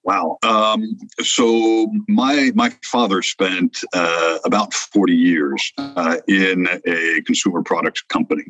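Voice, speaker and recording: A man's voice: low-pitched, fairly even intonation, slow pace, hardly any pauses, a bit bright, low-quality recording. An older man, born in France, speaking as an interview guest in a reflective way, with many hesitations and restarts. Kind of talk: conversational interview.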